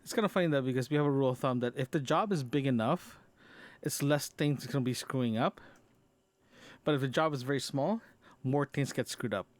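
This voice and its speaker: A man's voice: medium pitch, fairly quick, dry recording. A man chatting casually in a personal audio diary, rambling and often repeating and rephrasing himself. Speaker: podcast host.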